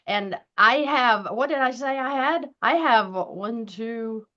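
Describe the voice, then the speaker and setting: A woman's voice: a little high, takes it slowly, sharp tone. A woman in her fifties hosting a casual interview chat, talking in a chatty, upbeat way with some restarts. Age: 50s. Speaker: host. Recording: dull lo-fi sound.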